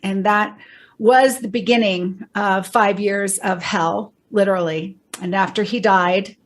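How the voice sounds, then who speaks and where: A woman's voice: fairly high pitch, fairly bright tone. Earnest middle-aged woman explaining. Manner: earnest, explanatory, fast listing of facts. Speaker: guest, bereaved mother and drug educator. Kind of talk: podcast interview.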